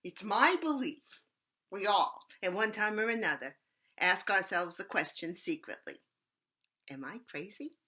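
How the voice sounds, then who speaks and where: An actress performing a stage monologue as an eccentric bag lady with a raspy voice, talking loudly and cackling, then dropping to hushed asides. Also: mid-range voice, lively intonation, unhurried. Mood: manic. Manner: loud, cackling, swings between shouting and hushed asides.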